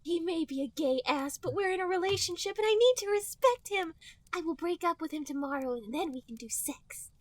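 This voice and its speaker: A woman's voice: very high-pitched, lively intonation, brisk, no room sound. A woman voicing a sweet, frank young cartoon rabbit girl in an exaggerated girlish way for a mock-dramatic reading. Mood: earnest. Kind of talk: mock-dramatic fan fiction dialogue reading.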